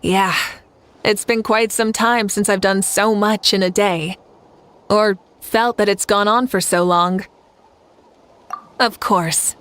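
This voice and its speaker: A woman's voice: medium pitch, steady tone, slow pace, pauses often, a bit bright. A woman voicing a confident, gracious female warrior ruler in a video game, with an assured, ceremonial manner. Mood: reflective.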